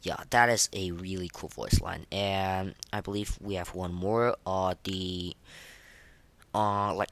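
A man hosting a casual reaction podcast, rating things in an informal, rambling way with a lot of halting fillers. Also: deep voice, steady tone, a bit bright, no echo. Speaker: podcast host. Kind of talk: casual reaction commentary, rating game voice lines.

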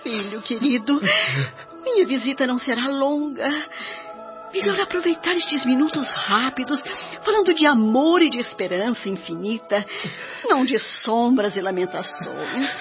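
A woman's voice: a little high, animated, normal speed. An actress with a Brazilian accent playing a tender, pious spirit mother who speaks solemnly to her son in a melodramatic radio drama. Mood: solemn encouragement. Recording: muffled radio drama with music behind.

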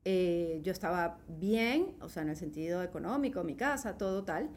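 A woman's voice: fairly low pitch, animated, moderate pace, darkish tone, slight background noise. A middle-aged woman with a Venezuelan accent, telling personal stories in a casual interview, emphatic and often checking that the listener follows. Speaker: podcast guest.

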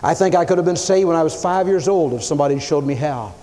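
A man preaching a sermon, emphatic, swinging his pitch and loudness as he acts out the people he quotes. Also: fast talker. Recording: long hall reverb.

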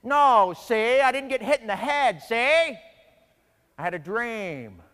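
A man's voice: extremely high pitch, expressive, slow pace, pushed and bright, smooth, big-room sound, exaggerated voice. A man voicing a nervous, whiny soldier who talks like an old-time movie gangster, repetitive and agitated, in a comedy sketch. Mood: pained, brooding. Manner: repetitive, agitated, tags phrases with "see". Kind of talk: comedic Bible story sketch.

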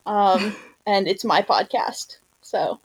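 A woman podcast host in relaxed conversation, with a mid-pitched voice. She talks informally and has a giggly way about her, as in a casual chat among fans. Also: takes it slowly, breathy edge, rough recording.

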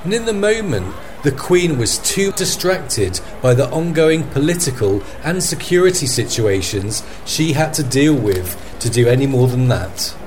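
A man's voice: medium pitch, big pitch swings, measured pace, hardly any pauses, darkish tone. A man acting as a field correspondent, giving a scripted news report in an earnest, formal manner. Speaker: podcast host as field correspondent. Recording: faint background noise and ambience.

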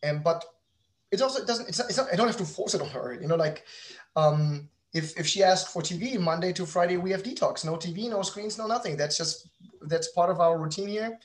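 A man in his thirties speaks in a fairly high-pitched voice. He answers as a guest in a casual interview, speaking candidly and wandering through his thoughts, doubling back to correct himself as he goes. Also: a little fast. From outside the United States. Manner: rambling, self-correcting, candid. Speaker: guest, company founder and father.

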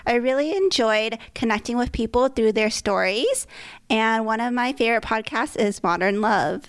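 A young woman from California co-hosting a podcast in a warm, casual way. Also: on the high side, moderate pace, clean tone. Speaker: podcast co-host.

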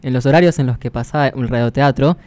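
A man's voice: mid-range voice, fast, darkish tone, no room sound. A man with an Argentine accent, explaining things conversationally as a podcast co-host.

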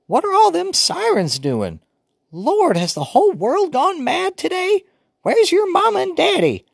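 A man voicing a fussy old grandmother, shrill and scolding. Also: huge pitch swings, a little slow, creaky, no room sound, clean studio sound, full character voice. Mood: alarmed.

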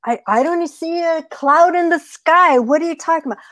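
A woman's voice: very high-pitched, normal speed, fairly bright tone, dry recording, clean studio sound, voice pushed up. A woman voicing a gullible, self-important male coyote in a folktale, blustering and emphatic. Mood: suspicious. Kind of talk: oral folktale storytelling.

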